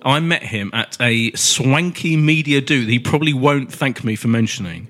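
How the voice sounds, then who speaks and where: Male host with a cold, chatty and self-mocking, average pitch. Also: moderate pace, a bit bright. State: stuffed up with a cold. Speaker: podcast host. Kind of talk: casual podcast chat.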